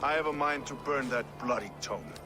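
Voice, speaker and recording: Guy acting a gruff-mannered wry medieval bloke in a video game, normal pitch, music behind him. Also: normal speed, close to an everyday voice. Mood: wry, resigned. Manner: wry, blunt, expressive. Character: rough, sardonic, weary medieval man, foul-mouthed but reasonable. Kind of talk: dramatic video game dialogue.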